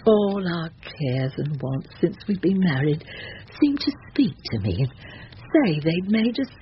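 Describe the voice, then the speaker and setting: A woman's voice: on the low side, very animated, measured pace. A woman playing a flustered, remorseful working-class Victorian wife and mother, tearful and fretful, in an old-time radio drama. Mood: regretful confiding. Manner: tearful, fretful, sighing exclamations. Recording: muffled, hissy old radio drama recording.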